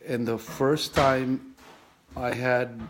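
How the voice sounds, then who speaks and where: A man in his fifties from the Philippines with a Filipino accent, telling his life story in a halting, reflective way with many fillers and restarts. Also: mid-range voice, fairly even intonation, noisy background. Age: 50s. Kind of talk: personal storytelling.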